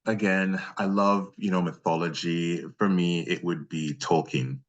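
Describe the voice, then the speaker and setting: Very low-voiced man chatting in an interview. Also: steady tone, measured pace, highs cut off. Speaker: freelance voice actor being interviewed. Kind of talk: casual interview chat.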